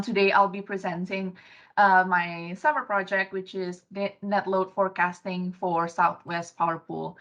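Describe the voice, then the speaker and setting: A woman from Indonesia in her thirties, a graduate student intern giving a research presentation on a video call, soft-spoken with many fillers and restarts. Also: mid-range voice. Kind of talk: virtual poster presentation. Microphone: computer mic on a video call. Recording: dull lo-fi video call audio.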